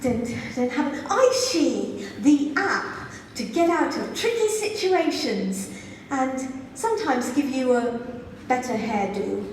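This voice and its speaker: A woman's voice: high voice, lively intonation, takes it slowly, slight background noise. A woman from Zambia doing a stand-up comedy set in a club, playful and giggling at her own jokes. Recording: echoey club sound from far off.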